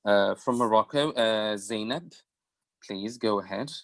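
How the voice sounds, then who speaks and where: A man moderating a video-call forum, speaking haltingly with many fillers and repeating his questions. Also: lowish voice, fairly bright tone. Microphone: computer mic on video call.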